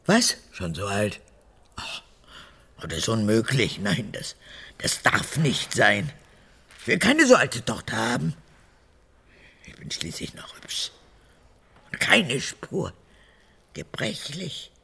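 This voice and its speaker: A woman's voice: rumbling low, sing-song, gravelly, fairly bright tone, breathy edge, full character voice. An actress playing a vain, erratic old mother and former officer in a radio drama, her voice cracked and often falling to a whisper. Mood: vain, petulant. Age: 80s. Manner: theatrical, erratic, halting then snapping. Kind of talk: German radio drama acting.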